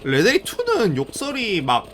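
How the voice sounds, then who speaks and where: A man's voice: high-pitched, wildly swooping pitch, measured pace, fairly bright tone. A young man casually commenting to viewers during a live game stream, musing aloud as he plays.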